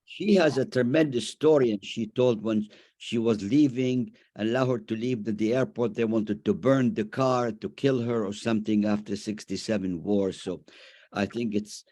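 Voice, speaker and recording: A man in his nineties from Iraq, speaking haltingly as he comments and asks questions in an online discussion. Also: lowish voice, steady tone, dark tone. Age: over 90. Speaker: audience member, memoir author. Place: remote online video call.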